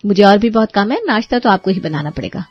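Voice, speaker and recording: A woman voicing a bossy, sharp-tongued young bride in a cartoon story, commanding and sarcastic. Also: medium pitch, lively intonation, fast talker, no echo, highs cut off.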